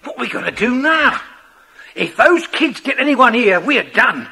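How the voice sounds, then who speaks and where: A man playing a rough, uneasy criminal sidekick in a children's audio drama. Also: very high-pitched, big pitch swings, measured pace, exaggerated voice. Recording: noisy old cassette.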